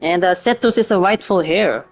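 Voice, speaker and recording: A man's voice: high-pitched, normal speed. Casual, hesitant male game streamer. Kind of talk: casual off-the-cuff game reaction. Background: faint game music.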